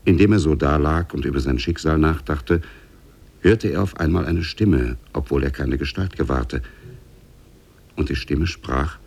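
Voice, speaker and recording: A man as a solemn storyteller narrating a 1950s radio drama, gravelly and husky. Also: very deep voice, a little slow, darkish tone, heavily acted. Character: solemn storyteller of Arabian Nights tales.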